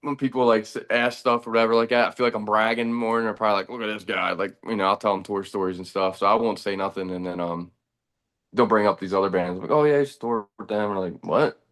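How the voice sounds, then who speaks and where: A man in his thirties, a band's bass player, talking in a relaxed, rambling way during a casual chat. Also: fast talker. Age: 30s.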